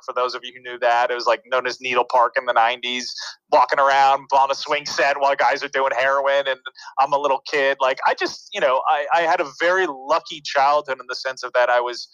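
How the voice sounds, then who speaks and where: Bright-voiced man, dry lo-fi sound. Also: mid-range voice, smooth, no room sound. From New York City and New Jersey. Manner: rambling, reflective, frequent fillers and restarts. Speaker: interview guest, media producer. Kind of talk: casual conversational interview.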